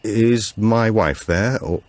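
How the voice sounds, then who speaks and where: A man's voice: fairly low pitch, slow, dry recording, pitched above the performer's own voice. A man reading the part of a caring, slightly anxious married father in an audiobook, plain-spoken and naturalistic. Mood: uneasy.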